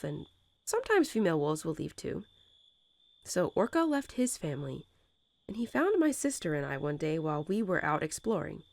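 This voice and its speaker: Woman playing a gentle mother wolf, softly low-voiced. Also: dry recording. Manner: tender, unhurried, softly chuckling, hesitant informal phrasing. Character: gentle young mother wolf soothing her newborn pups. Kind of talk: soothing bedtime roleplay audio, intimate storytelling.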